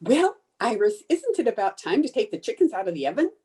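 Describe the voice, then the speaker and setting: Woman playing a high-pitched, scatterbrained radio-comedy housewife. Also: sing-song, a little fast, dark tone, husky. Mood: confident, daffy. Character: scatterbrained, confident housewife cooking, in old-time radio comedy. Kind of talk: old-time radio comedy acting. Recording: noisy home video-call recording.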